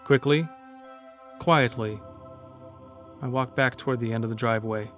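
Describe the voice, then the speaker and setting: A man playing a weary, brooding character who narrates his own story in an introspective, measured way. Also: medium pitch, a bit bright, faint music behind, natural voice. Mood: brooding, wistful. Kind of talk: first-person audio drama narration.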